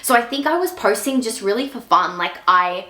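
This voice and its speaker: A woman's voice: medium pitch, lively intonation, normal speed, bright, forceful tone, roomy sound. A woman, chatting casually to camera in a Q&A vlog, full of "like" and "um". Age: 20s. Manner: chatty, many fillers like "like" and "um". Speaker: fitness content creator.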